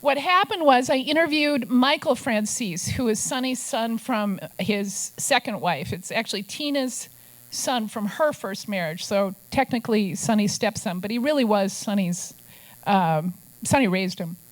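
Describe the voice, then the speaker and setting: A woman's voice: on the high side, animated, measured pace, rough recording. An older woman from Minnesota, an investigative journalist telling stories to a press club audience with wry asides. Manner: storytelling, wry asides, puts on gruff quoted voices.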